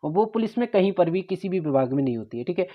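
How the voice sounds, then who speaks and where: A woman's voice: fairly low pitch, animated, fast talker. A woman giving an informal, instructive explainer, taking the listener through things step by step. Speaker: presenter of exam and job information videos. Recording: dull lo-fi voice recording.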